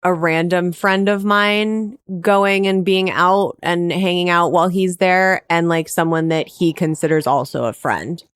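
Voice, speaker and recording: A woman's voice: lowish voice, fairly even intonation, measured pace, few pauses, clean tone, no room sound, clean studio sound. A woman hosting a podcast in casual conversation, speaking candidly and swearing freely. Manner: candid, profane. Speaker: podcast host.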